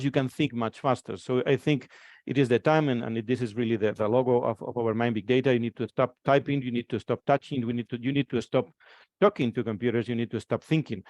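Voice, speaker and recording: A man's voice: mid-range voice, darkish tone, dry recording. A man from Spain in his forties with a Spanish accent, giving a conference presentation and often repeating words as he restarts his phrases.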